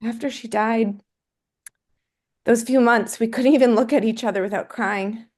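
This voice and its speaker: Woman playing bereaved mother, fairly high voice, earnest, tender, quietly resilient, drama script reading. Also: steady tone, moderate pace, lightly acted. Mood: sorrowful. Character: grieving mother, tender and resilient toward her estranged husband. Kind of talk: dramatic script table read.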